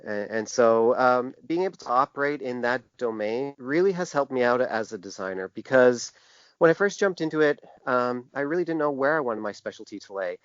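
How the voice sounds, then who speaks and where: A man answering interview questions as a designer, in a conversational but halting way, often restarting his phrases. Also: medium pitch, expressive, dry recording. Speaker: podcast guest, book designer. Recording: dull, band-limited lo-fi sound.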